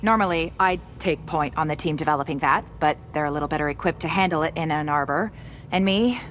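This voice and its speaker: Woman playing a blunt, sardonic doctor, lowish voice. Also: fairly quick, faint music behind, close to an everyday voice. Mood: earnest, weary. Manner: sarcastic, blunt, impatient. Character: brusque, sardonic woman doctor, driven virus researcher. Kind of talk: video game cutscene acting.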